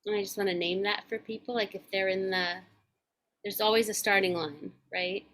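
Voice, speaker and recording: A woman's voice: medium pitch, steady tone, fairly bright tone. A woman in her forties co-hosting a podcast, talking reflectively and earnestly in casual chat. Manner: reflective, earnest, speaks in measured thoughts. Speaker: podcast co-host.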